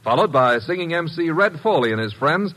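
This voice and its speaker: A man's voice: a little high, expressive, fairly quick, fairly bright tone. A man reading scripted credits and promos as a polished, formal vintage network radio announcer. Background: music.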